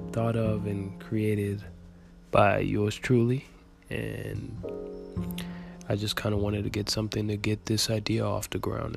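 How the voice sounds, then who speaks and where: A man's voice: lowish voice, creaky. A man in his twenties from the Dominican Republic, with Spanish as his first language and a light accent, talking earnestly and a bit ramblingly as a podcast host, restarting his sentences. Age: 20s. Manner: earnest, rambling, motivational, with many fillers and restarts. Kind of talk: casual solo podcast monologue.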